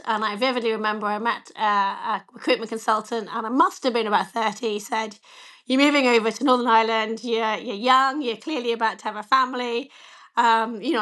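Woman in her forties, guest; slightly croaky, fairly high voice, candid and self-deprecating, over a video call. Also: fairly bright tone. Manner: candid, self-deprecating, many "you know" fillers. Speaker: bank chief executive, interview guest. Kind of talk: conversational interview answers.

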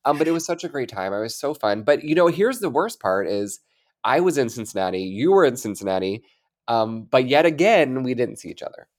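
A congested man with a blocked, stuffy nose talks in a chatty, rambling way while co-hosting a podcast. His pitch sits in an ordinary middle range, and his manner is upbeat and casual. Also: big pitch swings, brisk. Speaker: podcast co-host. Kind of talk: casual chat.

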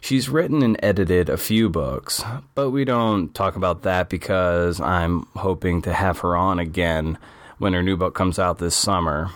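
Very deep-voiced man hosting a podcast. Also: a little slow, no room sound. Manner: breezy and chatty, with asides to listeners. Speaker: podcast host. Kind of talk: scripted show intro. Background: faint music.

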